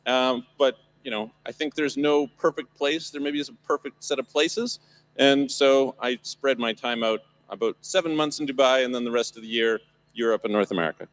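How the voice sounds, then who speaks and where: A man speaks as a panelist before a live audience. His voice sits at an ordinary middle pitch, and he lays out his points in an articulate, upbeat manner. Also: normal speed, a bit bright. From Canada. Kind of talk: live panel talk on stage. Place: event stage before an audience.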